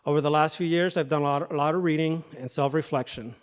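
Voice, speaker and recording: A man, a firefighter paramedic, giving a prepared speech at an awards ceremony podium, his voice a little off from recent dental work, starting with fillers and then settling into steady reading. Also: fairly high pitch, quick pace. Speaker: firefighter paramedic and foundation president. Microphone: podium microphone.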